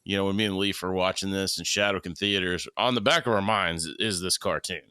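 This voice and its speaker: A man's voice: low-pitched, steady tone, a little fast, pushed and bright, clean tone, no room sound, studio quality. A man in his thirties co-hosting a podcast, chatting casually, swearing freely and joking. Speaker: podcast co-host. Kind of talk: casual chat.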